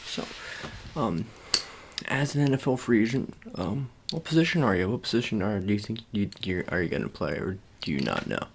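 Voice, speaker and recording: Man acting as awkward sports agent, ASMR roleplay, gravelly low voice, stammering, evasive, noisy clicky recording. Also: big pitch swings. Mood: awkward, doubtful. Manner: halting, stammering, repeats phrases. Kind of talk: improvised ASMR roleplay, one-sided conversation with the listener.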